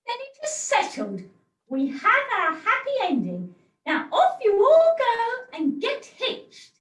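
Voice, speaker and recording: A woman acting a firm, sly, bargaining fixer in an amateur pantomime, with a deliberate, commanding theatrical delivery. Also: very high voice, huge pitch swings, exaggerated voice. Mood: brisk, commanding. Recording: roomy, distant home video-call recording.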